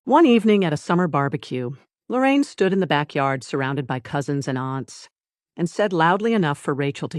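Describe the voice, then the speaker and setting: Audiobook narrator for domestic drama, medium pitch, deliberate and measured delivery, very dry recording. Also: no echo.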